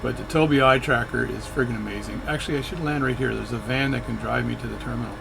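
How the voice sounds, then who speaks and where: A man's voice: mid-range voice, fast, creaky, over music. A man narrating his flight sim session in a chatty, rambling way, often correcting himself mid-sentence. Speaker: flight sim video creator. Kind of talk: casual narration while playing a flight sim.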